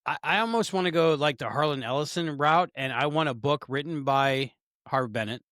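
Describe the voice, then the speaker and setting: A man's voice: on the high side, normal speed, fairly bright tone, no room sound, studio quality. A man co-hosting a casual podcast chat, hesitant, often repeating words and giving strong opinions. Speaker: podcast co-host.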